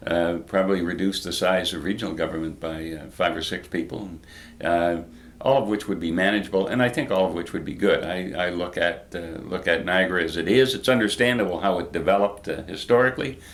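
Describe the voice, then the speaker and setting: An older man answering interview questions as a guest, in measured, hesitant phrases with frequent pauses. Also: deep voice. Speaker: interview guest, former regional politician.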